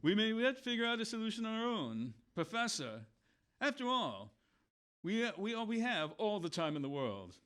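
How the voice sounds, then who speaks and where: A man's voice: sits high, very animated, normal speed, fairly bright tone. A man playing an earnest scientist doctor in a science-fiction radio play. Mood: resigned. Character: earnest male scientist doctor trapped in a time loop.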